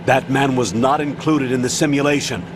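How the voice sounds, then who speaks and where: A man plays a stern military colonel in a video game, giving clipped, authoritative orders. Steady noise hums beneath his lines. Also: mid-range voice, normal speed, close to an everyday voice. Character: commanding older male colonel on the radio. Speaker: mission commander. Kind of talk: video game cutscene voice acting.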